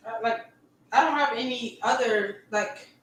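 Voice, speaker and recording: A woman giving hesitant, halting answers in a casual chat. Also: on the high side, far from the mic, soft background music. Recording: echoey room, away from mic.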